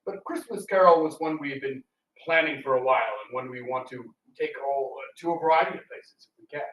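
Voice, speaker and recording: A man's voice: medium pitch, fast talker, a bit bright. A man giving an informal live talk as a theatre presenter. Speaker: radio theatre co-founder and presenter. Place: public library room. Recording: echoey room, distant mic.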